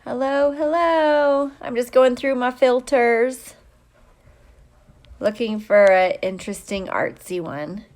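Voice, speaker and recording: A woman's voice: a little high, slow pace, a little mellow. A middle-aged woman, a teacher, chatting casually and playfully with young viewers on a livestream, drawing out her greetings. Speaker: teacher hosting a livestream for students.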